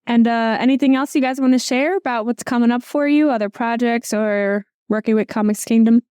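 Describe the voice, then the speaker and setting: A woman's voice: on the high side, steady tone, brisk, dark tone, clear, resonant tone, dry recording, clean studio sound. A woman hosting a casual interview, asking questions and adding brief encouraging remarks. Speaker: host.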